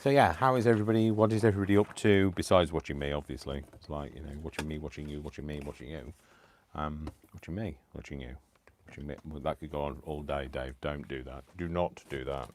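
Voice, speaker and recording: A man hosting a live crafting stream, chatting casually and poking fun at himself, tired and clearing his throat. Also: rumbling low, fast, a little hiss or hum. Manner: chatty, self-deprecating, talks to himself. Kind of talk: rambling casual chat while crafting.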